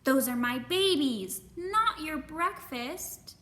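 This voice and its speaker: A protective mother robin from a storybook, voiced by a woman who screeches her orders in a high, piercing voice. She is bossy and fierce. The sound carries an echoey room. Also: animated, slow, full character voice. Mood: indignant. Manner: screeching, sharp commands.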